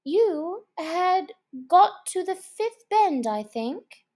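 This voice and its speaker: A high, lilting little girl from a storybook, played by a woman. She is polite, earnest and naive, with a pleading edge to her words. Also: big pitch swings, very slow, a little mellow, full character voice. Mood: humble.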